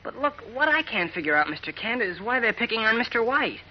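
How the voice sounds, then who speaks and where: A man's voice: very high-pitched, sing-song, a little fast. An actor playing an eager, wide-eyed boy cub reporter with gee-whiz exclamations, in 1940s radio drama style. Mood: anxious, earnest. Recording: dull lo-fi 1940s radio recording.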